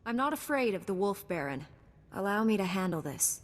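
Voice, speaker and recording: Woman as a composed heroic knight, medium pitch. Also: sing-song, fairly quick, natural voice. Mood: determined. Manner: composed, courteous, determined. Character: calm, resolute young female Jedi knight. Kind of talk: cinematic video game hero acting. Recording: long hall reverb, music behind.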